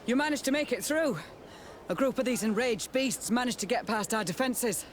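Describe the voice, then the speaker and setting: A man's voice: extremely high pitch, expressive, moderate pace, slight background noise. A man playing a weary, embittered military captain in a video game cutscene, blunt and sardonic, loyal to his soldiers. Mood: weary, strained.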